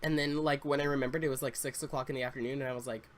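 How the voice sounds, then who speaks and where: A man in his twenties chatting casually, a bit congested and slightly raspy, rambling with fillers and restarts. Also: medium pitch, level tone, racing. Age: 20s. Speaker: Sims YouTuber. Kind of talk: casual story time chat.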